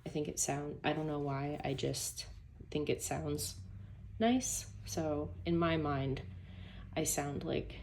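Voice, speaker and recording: A woman with a hoarse voice, low and gravelly with a lot of fry, chats casually at her home desk. She rambles through self-deprecating asides over a faint hum of room noise. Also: slow pace, a little mellow. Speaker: planner YouTuber. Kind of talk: casual chatty vlog. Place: home desk by a sunny window.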